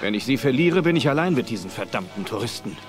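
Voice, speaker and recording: Brusque commanding scientist, acted by a man, animated pitch. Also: fast, over music, lightly acted. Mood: stern, irritated. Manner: brusque, authoritative. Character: stern, commanding male scientist, wary and irritable. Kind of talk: German audio drama acting.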